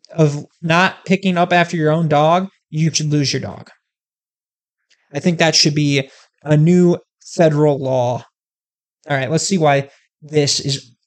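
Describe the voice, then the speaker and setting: A video host delivering a comedic rant straight to the viewers, with a middle pitch. The speech is profane and emphatic, and the talk has an animated, worked-up energy.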